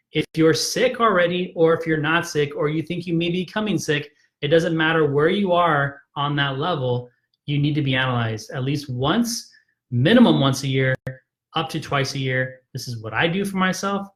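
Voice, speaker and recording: A man speaks in a persuasive, emphatic way, often tagging his points with "right?" and restarting his sentences. His voice sits slightly higher than most men's. He sounds frustrated as he urges his viewers in a live video talk. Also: moderate pace, fairly bright tone. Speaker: chiropractor hosting a health video.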